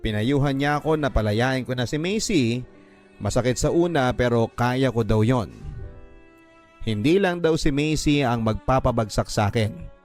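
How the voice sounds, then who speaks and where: A man's voice: mid-range voice, a little mellow. A man reading a listener's letter aloud in the first person as a radio drama narrator, earnest and heartfelt. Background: faint background music.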